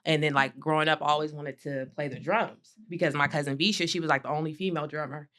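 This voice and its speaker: A woman's voice: low voice, fairly even intonation, fast talker, fairly bright tone. A woman guest on a podcast, telling her story in a chatty way, with lots of "like" and "you know" and easy laughter. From Sacramento, California. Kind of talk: casual interview chat.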